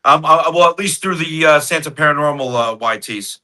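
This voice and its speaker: Bright, eager man rambling excitedly. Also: a little high, a little fast. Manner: enthusiastic, rambling, says "dude" a lot. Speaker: podcast co-host. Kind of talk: casual live-stream chat.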